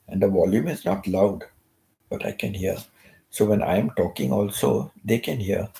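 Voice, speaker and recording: Older man, hoarse-sounding, near-whisper, gravelly, deep-ish, unhurried casual chat from bed. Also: low-quality recording. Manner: speaks softly, almost whispering; hums tunelessly between thoughts. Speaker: channel host. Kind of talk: casual rambling livestream chat. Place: bedroom, in bed.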